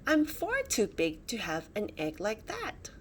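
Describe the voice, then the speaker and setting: A woman voicing a big, gentle, amused male dinosaur in a children's storybook, advising kindly. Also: medium pitch, wildly swooping pitch, measured pace, a little hiss or hum. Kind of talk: children's storybook character reading.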